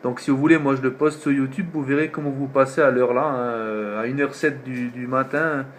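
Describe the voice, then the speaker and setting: A man's voice: mid-range voice, steady tone, normal speed, slight background noise. A man from northeastern France, a radio operator chatting casually on air, often repeating himself and tagging his phrases. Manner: repetitive, with frequent tag words ending phrases. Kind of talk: casual radio contact chat.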